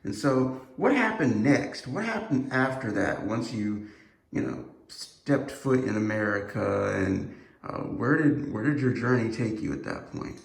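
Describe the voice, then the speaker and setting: Guy hosting a podcast, gravelly low voice, lots of ums, echoey room. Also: big pitch swings, unhurried. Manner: loose, hesitant questions full of fillers. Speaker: podcast host. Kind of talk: casual interview.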